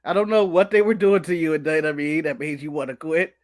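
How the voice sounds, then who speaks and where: A man's voice: a little high, fairly even intonation, a little fast. A man co-hosting a casual wrestling recap show, ranting animatedly and laughing at his own jokes. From United States. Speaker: podcast co-host.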